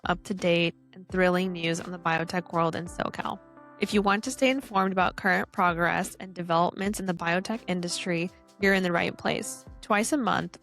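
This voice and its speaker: A woman co-hosting a podcast, giving a polished, clear scripted introduction and then asking interview questions. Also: fairly low pitch, fairly bright tone. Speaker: podcast co-host.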